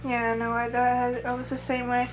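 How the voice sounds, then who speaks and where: A young adult woman with a somewhat high voice, chatting casually to camera. She rambles and hesitates, restarting her sentences often. A faint hum sits under her voice. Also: completely flat delivery, moderate pace. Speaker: vlogger. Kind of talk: casual chat.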